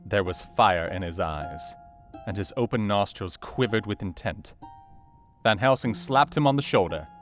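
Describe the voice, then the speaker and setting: An actor playing a grave young doctor, narrating his account in a measured, sombre manner for an audio drama. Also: fairly low pitch, close to an everyday voice. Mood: intent. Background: soft guitar music.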